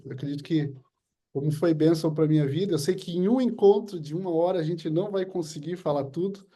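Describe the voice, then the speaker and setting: A man with a Brazilian Portuguese accent, a pastor hosting a church meeting, who introduces a guest and then leads a prayer, pausing often and restarting his sentences. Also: on the high side, dark tone. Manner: halting, with many fillers and restarts. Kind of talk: informal introduction and spoken prayer.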